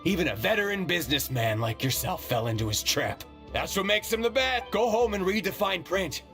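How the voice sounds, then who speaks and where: A man's voice: sits high, animated, brisk, a bit bright, faint music behind. An actor voicing a sly male debt collector who serves a crime boss, delivered in a mocking, businesslike way. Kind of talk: video game cutscene voice acting.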